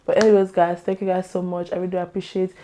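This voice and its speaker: Woman vlogger losing her voice, kinda low n warm, rambles and laughs a lot. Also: flat delivery, fast, slight background noise. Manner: chatty, opinionated, laughs often. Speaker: YouTube vlogger. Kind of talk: casual chat to camera.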